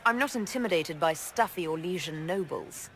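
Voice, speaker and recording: A woman as a low-voiced, blunt heroine. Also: expressive, normal speed, slight background noise, lightly acted. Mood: cool, determined. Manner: direct, dry, unimpressed. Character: cool, blunt young elven heroine leading an order. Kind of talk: video game cutscene acting.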